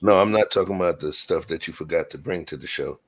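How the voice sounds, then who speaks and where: A man's voice: sits low, fast. An American man hosting an unscripted show with slangy, playful, teasing banter. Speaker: host of an online reaction show.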